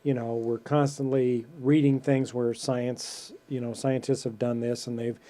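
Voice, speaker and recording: A man with a soft, mellow tone hosts a relaxed podcast chat. He wanders through his thoughts, leaning on "you know" and "um" as he goes. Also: medium pitch, unhurried. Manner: rambling, full of fillers. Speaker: podcast host. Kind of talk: casual chat.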